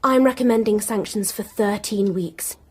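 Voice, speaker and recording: An actress playing a smug, coldly officious jobcentre manager in a radio drama, patronising and full of jargon. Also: female voice, medium pitch, normal speed, slightly rough, lightly acted.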